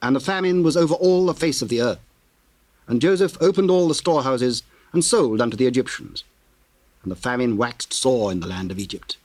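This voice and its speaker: A man narrating scripture in a solemn, measured, formal way with theatrical diction. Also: medium pitch, darkish tone, no echo.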